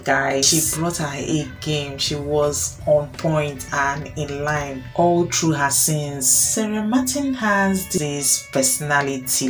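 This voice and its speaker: A woman hosting her channel, reviewing a film in an enthusiastic, chatty voice-over that calls viewers guys. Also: extremely low pitch. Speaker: channel host. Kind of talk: scripted entertainment review voice-over. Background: soft guitar music.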